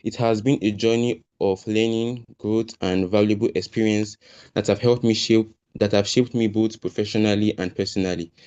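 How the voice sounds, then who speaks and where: A man's voice: fairly low pitch, fairly even intonation, moderate pace, darkish tone, clear, resonant tone. A teenage boy, giving a prepared thank-you speech as a student intern. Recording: dull, lo-fi online call audio.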